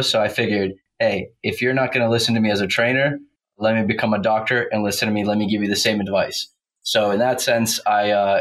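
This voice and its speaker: A man from Croatia, a chiropractor and podcast guest, speaking plainly with some halting restarts. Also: fairly low pitch, fairly bright tone, low-quality recording. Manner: plain, halting, uses fillers. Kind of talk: casual interview answers.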